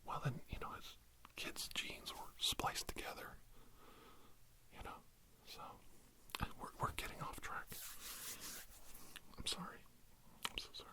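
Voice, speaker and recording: A man doing rambling ASMR storytelling as a self-styled healing doctor, in a meandering, hesitant, deadpan earnest manner. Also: unhurried, long pauses.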